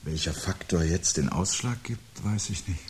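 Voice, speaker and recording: An actor playing a brooding, anxious man who prophesies the end of life, speaking gravely and deliberately with a husky voice in a 1970s radio play. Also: sits low. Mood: bleak, insistent. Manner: grave, deliberate, articulate, philosophical monologuing.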